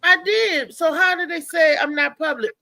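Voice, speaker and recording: A woman's voice: extremely high pitch, normal speed, creaky, bright, forceful tone, no echo. A woman hosting a casual talk show, insistent, repeating her words as she makes her point and quotes others. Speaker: show host. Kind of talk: casual chat.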